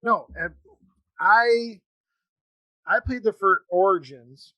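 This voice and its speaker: A man hosting a casual interview chat, talking in a halting, rambling way with false starts. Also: high voice, no echo, lo-fi sound. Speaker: podcast host.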